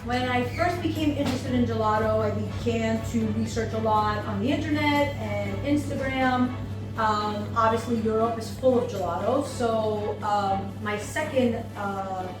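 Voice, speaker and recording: A woman who owns a business, giving a thoughtful testimonial with many ums and pauses. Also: fairly high pitch. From United States. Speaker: seminar attendee, business owner. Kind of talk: testimonial interview. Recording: echoey room, mic far off, music behind.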